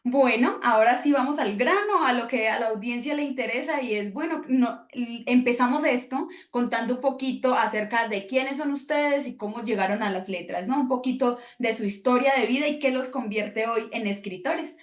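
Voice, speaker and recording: A woman's voice: a little high, moderate pace, hardly any pauses, reverberant. A woman hosting an online book presentation, chatting effusively with the authors. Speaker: host.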